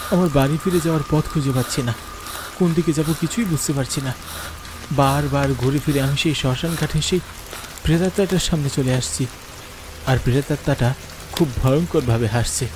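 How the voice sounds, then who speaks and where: A man's voice: mid-range voice, brisk, noisy background, natural voice. A man playing a frightened schoolteacher who tells his ghost story in the first person, with dramatic delivery, for a horror cartoon. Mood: terrified. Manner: dramatic, fearful, pleading when in dialogue. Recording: music bed under the voice. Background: music.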